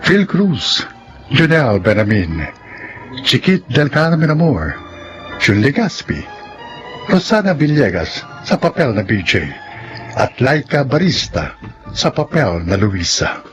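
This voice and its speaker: A man's voice: medium pitch, very animated, a little slow, pauses often. A man with a Filipino accent announcing a radio drama in dramatic scripted narration. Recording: muffled broadcast with music underneath.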